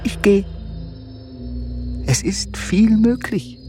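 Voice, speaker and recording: A woman playing a tormented, servile, fatalistic poor soldier in a radio play, halting and often whispering. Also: medium pitch, lively intonation, mellow, faint music behind. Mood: brooding. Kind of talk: theatrical German radio drama acting.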